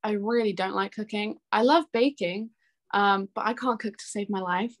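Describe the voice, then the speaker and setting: Young female, informal interview guest, chatty and giggly delivery, mid-range pitch. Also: moderate pace. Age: young adult. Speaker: interview guest, singer-songwriter. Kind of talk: casual chat.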